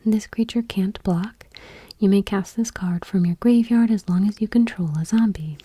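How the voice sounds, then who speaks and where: A woman host speaking in a soft, breathy whisper, gently and without hurry, reading text aloud in ASMR style. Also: medium pitch, moderate pace, dry recording.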